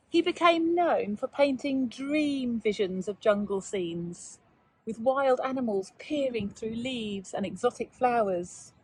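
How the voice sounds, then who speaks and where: A woman teacher reading aloud and chatting to young pupils in a measured, child-directed way. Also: on the high side, breathy edge, rough recording. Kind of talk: children's read-aloud and informal lesson talk.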